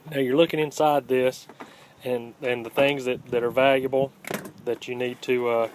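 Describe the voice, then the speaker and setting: A man giving a casual, unscripted hands-on how-to in a plainspoken, halting way, recorded on a handheld phone. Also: mid-range voice, little pitch movement. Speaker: host of a scrapping how-to channel. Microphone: handheld phone, filming one-handed. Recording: home phone video with faint background noise.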